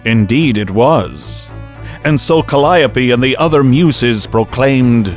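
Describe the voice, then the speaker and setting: A man narrating a radio drama as a theatrical storyteller, with measured, dramatic phrasing. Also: medium pitch, faint music behind.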